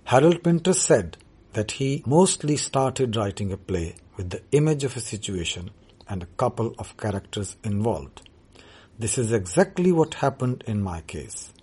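A man whose first language is Urdu, talking about his writing as a poet in a measured, deliberate way. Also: fairly low pitch, sing-song, mellow, husky. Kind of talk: reflective talk on his writing.

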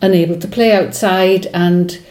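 A woman's voice: on the low side, fairly even intonation, normal speed, darkish tone. A woman poet whose first language is Welsh, speaking thoughtfully in an interview, with hesitations and restarts. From Wales. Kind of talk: reflective conversational interview.

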